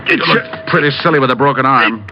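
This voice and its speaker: An actor playing a cocky, sardonic American private detective in mid-century radio drama style. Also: male voice, mid-range voice, fairly quick, lightly acted. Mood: tough, probing. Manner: sardonic, slangy, brash. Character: wisecracking, cocky tough male private detective. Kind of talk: 1940s-50s American radio detective acting. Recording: dull old radio recording, music behind.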